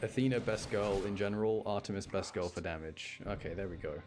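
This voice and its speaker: Male game streamer, lowish voice, chatty, playful, casual live commentary, faint game music behind. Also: brisk. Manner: chatty, playful, reacts out loud. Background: video game music.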